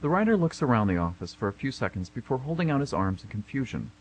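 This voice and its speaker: A man's voice: mid-range voice, very animated, quick pace, muffled recording. A man reading scripted stage directions as the narrator of a radio play. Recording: faint noise and hum.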